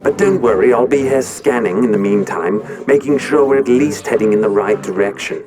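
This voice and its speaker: A man playing a sarcastic, deadpan robot companion in acted sci-fi animation dialogue, drily mocking. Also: mid-range voice, fairly quick, lightly acted. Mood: dry sarcasm.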